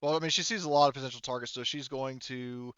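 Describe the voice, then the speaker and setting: A man narrates a tabletop game in a casual, halting way, thinking through rulings aloud. His voice is smooth and of medium pitch. The sound is dry and a little dull, like a modest microphone close by. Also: steady tone, a bit bright, no room sound. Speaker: game master.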